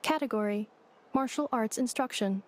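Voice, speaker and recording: A woman voicing an earnest, literal robot maid in a video game, speaking in a measured, formal, matter-of-fact way over music. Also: fairly high pitch, close to an everyday voice. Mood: methodical. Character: female robot maid with memory loss, earnest, literal, placid.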